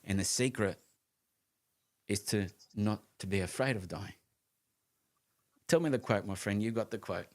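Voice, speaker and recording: A man in his late fifties co-hosting a casual conversation, talking in an animated, emphatic way with frequent restarts and the odd casual swear word. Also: fairly low pitch, moderate pace, long pauses. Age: 50s. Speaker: co-host of a live conversation show.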